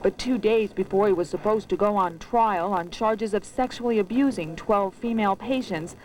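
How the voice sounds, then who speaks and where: A female correspondent narrates a scripted TV news package. Her pitch is average for a woman. The recording carries faint noise. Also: normal speed, a little mellow, low-quality recording.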